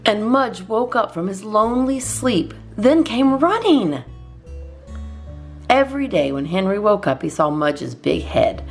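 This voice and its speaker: A woman reading a children's storybook aloud as a storytime host, expressively and in measured phrases with deliberate pauses. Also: medium pitch, expressive, faint music behind.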